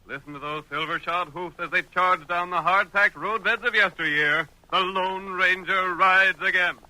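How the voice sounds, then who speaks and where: A man's voice: high voice, steady tone, normal speed, bright, forceful tone, no room sound, rough recording. An American man narrating an old radio western in a dramatic, declamatory style. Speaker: announcer and narrator. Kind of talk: 1940s radio drama narration, booming and theatrical. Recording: old radio broadcast recording.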